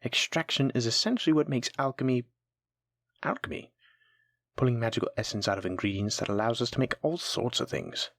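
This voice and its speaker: A voice actor playing a kind, patient alchemy teacher in a fantasy audio roleplay, gently encouraging and instructing a new apprentice. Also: mid-range voice, animated, a little fast, no room sound, clean studio sound. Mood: warm, teaching. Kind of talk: soft-spoken scripted fantasy audio roleplay.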